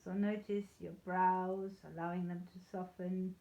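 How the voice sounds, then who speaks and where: A woman leading a guided yoga nidra meditation in a soothing, unhurried, gently reassuring way. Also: fairly low pitch, little pitch movement. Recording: slightly dull, echoey room sound.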